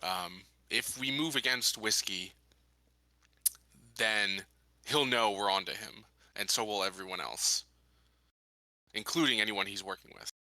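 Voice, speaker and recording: Man playing a deliberate colony leader, bright-voiced. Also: on the low side, dry recording, exaggerated voice. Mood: cautious, firm. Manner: deliberate, formal, halting. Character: measured, calculating male colony leader giving orders.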